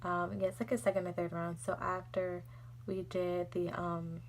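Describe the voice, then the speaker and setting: A woman's voice: on the low side, level tone, normal speed, a little hiss or hum. A young woman telling a personal story in a casual, exasperated, rambling vlog style. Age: young adult. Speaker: vlogger.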